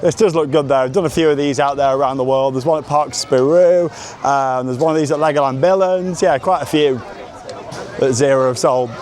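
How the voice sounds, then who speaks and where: A man's voice: a little high, brisk, darkish tone. A man vlogging casually as he walks around outdoors, chatty and enthusiastic in a rambling running commentary. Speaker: theme park vlogger and host. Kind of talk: casual vlog narration to camera. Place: outdoors at a theme park, walking around.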